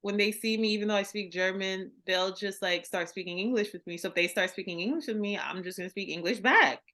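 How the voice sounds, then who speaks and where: A woman in her thirties with a bright, crisp voice. She tells quick stories on a video call and acts out the lines of the people in them, laughing as she goes. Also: medium pitch. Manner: animated storyteller, acts out quoted lines, laughs often. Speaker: interviewer. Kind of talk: casual video-call interview. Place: indoor room at home.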